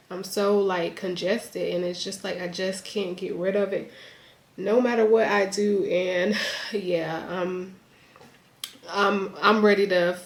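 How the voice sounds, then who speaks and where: Chatty young woman, slightly congested. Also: medium pitch. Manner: chatty, lots of "like" and "um". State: a bit congested. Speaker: YouTuber. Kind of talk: casual sit-down chat to camera. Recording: echoey room.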